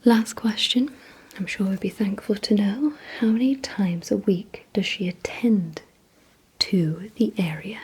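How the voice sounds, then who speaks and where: A woman whispering breathily in an ASMR vampire roleplay, speaking deliberately to the listener as a gently instructing host. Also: mid-range voice, low-quality recording. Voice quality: breathy, mostly unvoiced whisper.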